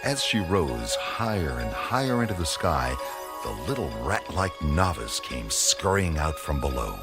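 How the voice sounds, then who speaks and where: A man narrating a scripted radio drama as a storyteller, with deliberate phrasing. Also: extremely low pitch, sing-song. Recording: loud music under the voice.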